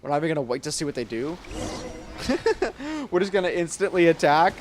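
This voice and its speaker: A man's voice: a little high, sing-song, fairly quick, noisy background. A man giving casual let's play commentary, slangy and reactive, talking back to the game. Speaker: gaming content creator. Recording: game music loud behind the voice. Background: video game music.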